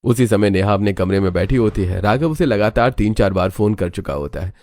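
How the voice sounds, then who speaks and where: A man's voice: fairly low pitch, lively intonation, brisk, darkish tone, no echo. A man reading scripted, dramatic narration for a cartoon story.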